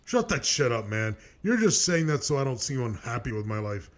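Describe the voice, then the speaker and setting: A man playing a foul-mouthed, self-loathing loser in a web cartoon, talking in a bitter, halting way. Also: medium pitch, sing-song, natural voice. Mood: bitter, defensive. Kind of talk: animated web cartoon dialogue.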